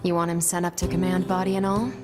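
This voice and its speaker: A woman's voice: lowish voice, little pitch movement, quick pace, over music, natural voice. A woman playing a wry, easygoing soldier comrade in a video game, talking informally with a teasing edge. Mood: wry, casual. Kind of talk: video game cutscene acting.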